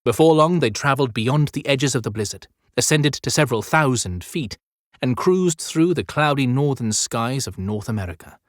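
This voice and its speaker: A man's voice: medium pitch, expressive, moderate pace, hardly any pauses, no echo. Male audiobook narrator, close and dry. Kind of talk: scripted narration, dramatic fantasy storytelling.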